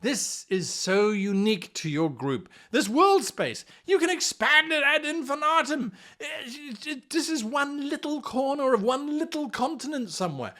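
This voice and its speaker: A man's voice: very high voice, big pitch swings, moderate pace, hardly any pauses, pushed and bright. A man hosting a tabletop role-playing lesson, giving game master advice in a theatrical, exaggerated manner. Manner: theatrical, exaggerated, acts out mock dialogue.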